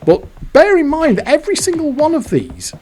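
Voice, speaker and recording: A man's voice: extremely high pitch, huge pitch swings, moderate pace, darkish tone, a little hiss or hum. A man giving casual, exasperated commentary while playing a fruit machine, swearing now and then. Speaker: slot machine video host.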